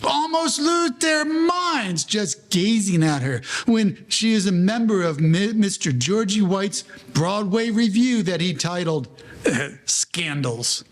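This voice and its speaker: An actor playing the wry, formal narrator of an old Broadway gangster tale, telling it as scripted drama. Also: male voice, high voice, very animated, takes it slowly, heavily acted. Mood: wry storytelling.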